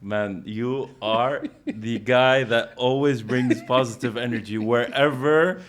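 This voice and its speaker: A man's voice: mid-range voice, slow. Friendly podcast host, man. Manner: friendly, warm, chatty with many restarts. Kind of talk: casual podcast conversation.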